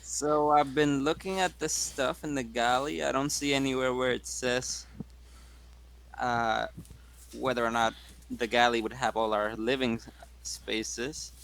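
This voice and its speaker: A man's voice: medium pitch, fairly even intonation, a little slow, creaky, fairly bright tone. A man talking casually as a player in a tabletop game. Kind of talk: casual chat, reading rules aloud.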